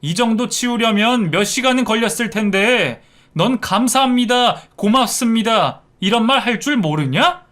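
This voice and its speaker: A man's voice: fairly high pitch, a bit bright. A man voicing a hot-tempered adult son in a narrated story, blunt, scolding and contemptuous as he defends his mother. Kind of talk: acted dialogue in a narrated story.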